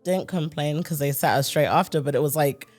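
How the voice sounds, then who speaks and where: A woman's voice: low-pitched, brisk, a little mellow, faint music behind. A woman from the UK with a British accent, talking frankly and conversationally on a podcast, with plenty of casual fillers. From United Kingdom.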